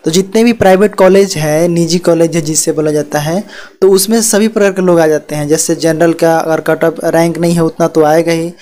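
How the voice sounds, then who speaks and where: A man's voice: on the high side, steady tone, fairly quick, warm tone. Man explaining a document on screen. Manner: repetitive, fills with "yahan par" and "theek hai". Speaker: education channel host. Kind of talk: screen-share explainer walkthrough. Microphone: voice-over on screen recording.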